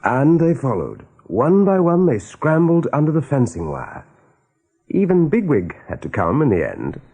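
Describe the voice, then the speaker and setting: A man narrating a radio play as a dramatic storyteller, with a husky voice. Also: fairly high pitch, huge pitch swings, measured pace, warm tone.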